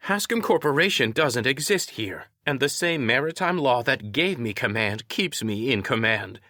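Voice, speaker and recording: A man's voice: medium pitch, expressive, normal speed, bright, forceful tone, dry recording, clean studio sound, voice pushed up. A man voicing a tough, assertive woman ship commander in an audiobook story. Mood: defiant anger. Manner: heated, assertive.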